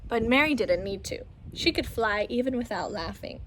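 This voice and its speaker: A woman reading a children's story aloud in an expressive storytelling style. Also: on the high side, normal speed, a little hiss or hum, low-quality recording.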